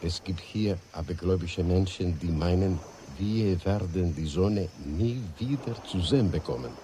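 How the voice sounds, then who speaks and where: An actor playing a somber Spanish island eyewitness in a radio drama, giving a grave, measured report with pauses. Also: male voice, low-pitched. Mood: somber, foreboding. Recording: clearly noisy, ambient background sound.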